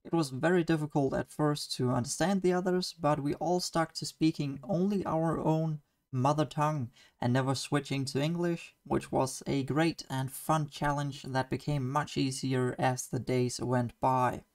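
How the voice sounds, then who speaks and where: A young Danish-accented man tells his travel diary as a voice-over, eager and earnest. His voice sits a little high for a man. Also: fairly even intonation, moderate pace. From Denmark. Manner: enthusiastic, earnest storytelling. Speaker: student vlogger.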